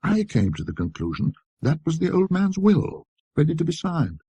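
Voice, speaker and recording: A man voicing an uneasy, inquisitive, educated gentleman who speaks formally and deliberately in a ghost story. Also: on the high side, wildly swooping pitch, husky, dry recording, voice pushed up, heavily acted. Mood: probing, thoughtful.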